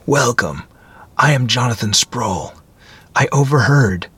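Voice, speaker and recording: Man as a husky, courteous old antique dealer. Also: mid-range voice, animated, takes it slowly, dry recording, exaggerated voice. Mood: friendly. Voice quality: mellow. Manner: friendly, diffident, chuckling scholarly precision. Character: stooped, wrinkled old antique dealer, courteous, furtive, knowing. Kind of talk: acted story dialogue.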